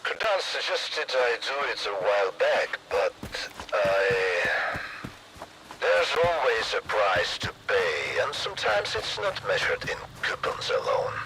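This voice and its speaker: An actor playing a weary, somber survivor in a video game, speaking in a hushed, halting, regretful way. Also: male voice, medium pitch, big pitch swings, creaky, noisy background, exaggerated voice.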